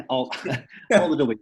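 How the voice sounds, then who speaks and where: A man's voice: mid-range voice, husky. A young man from the north of England with a northern English accent, chatting as a podcast guest from home over a remote call, friendly and a little self-deprecating. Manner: chatty, self-deprecating, repeats words as he thinks. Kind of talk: casual podcast chat.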